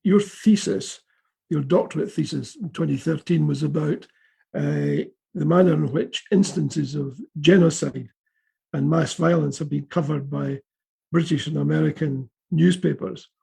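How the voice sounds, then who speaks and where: A man's voice: a little high, expressive, unhurried, creaky, mellow, husky. A man hosting an interview, chatty in tone. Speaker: host. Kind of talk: casual interview talk.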